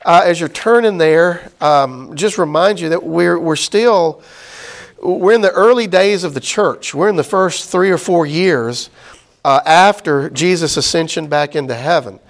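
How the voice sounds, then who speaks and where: Middle-aged male Bible teacher with a somewhat high voice and an easygoing, rambling lecture delivery. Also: a little slow. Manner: conversational, many fillers and restarts. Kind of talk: casual Bible study lecture.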